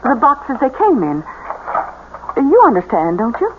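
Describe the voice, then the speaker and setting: An actress playing a clinical assistant in a radio drama, gently instructing and placating in a coaxing way. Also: female voice, on the high side, wildly swooping pitch, measured pace. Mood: encouraging. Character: coaxing female clinical assistant, uneasy with patient. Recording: tinny old radio broadcast with hiss.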